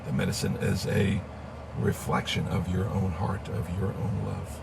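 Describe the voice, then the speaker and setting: Hoarse, gravelly-voiced man leading a slow ceremony. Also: sits low, little pitch movement, distant mic, heavy background noise. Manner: slow, meditative, murmuring thanks. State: hoarse with a cold. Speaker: spiritual leader. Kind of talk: live-streamed ceremony talk.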